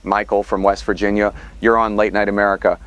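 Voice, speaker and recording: Man acting a fast-talking, low-voiced radio host. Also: level tone, a bit bright, natural voice. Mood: grave, urgent. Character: late-night radio host broadcasting through blackout, grave. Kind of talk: scripted radio host narration.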